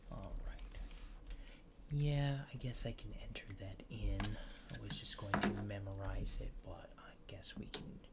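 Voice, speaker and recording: A man playing a laid-back, faintly apologetic alien fixer in a soft, hesitant, often near-whispered ASMR roleplay. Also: lowish voice, measured pace, very noisy, close to an everyday voice. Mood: businesslike, gentle. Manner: hesitant, understated, dryly matter-of-fact.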